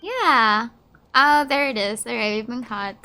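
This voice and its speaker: Female gaming streamer, high and bright, unhurried delivery, casual reactive commentary over light game music. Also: expressive, clear, resonant tone.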